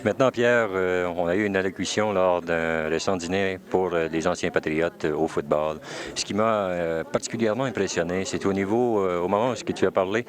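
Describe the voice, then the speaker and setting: Deep-voiced Canadian French interviewer. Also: male voice, normal speed. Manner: hesitant, many fillers. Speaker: radio interviewer. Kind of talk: radio interview questions. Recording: 1979 radio archive.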